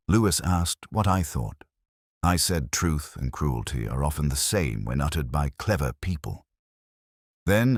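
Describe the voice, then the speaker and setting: A man narrating a first-person detective story in a measured, brooding, literary manner. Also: a bass voice, no room sound, studio quality.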